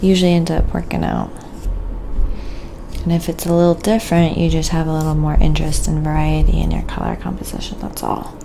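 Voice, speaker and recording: A soft-spoken woman chatting quietly and casually while painting on an ASMR live stream, often murmuring to herself. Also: deep voice, animated, fairly quick, gravelly, slight background noise.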